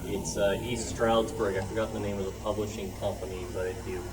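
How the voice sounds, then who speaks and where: A man's voice: lowish voice, little pitch movement, a little fast. A man giving informal, knowledgeable commentary as a member at a club meeting. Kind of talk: informal expert commentary from the floor. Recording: noisy meeting room.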